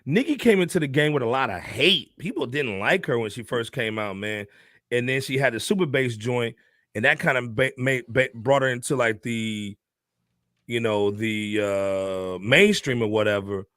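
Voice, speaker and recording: A man co-hosting a music podcast, talking in a relaxed, slangy way. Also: mid-range voice, moderate pace, no room sound, clean studio sound. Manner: relaxed, slangy, drops "man" and "you know". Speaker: podcast co-host. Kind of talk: casual opinion chat.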